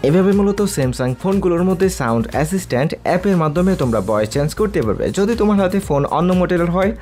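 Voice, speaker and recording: A Bangladeshi-accented man narrates a step-by-step tutorial as a voice-over. His voice sits a bit high for a man and he talks quickly. Faint music plays behind him. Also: expressive, a little mellow. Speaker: tutorial video creator. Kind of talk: scripted how-to voice-over with app plug.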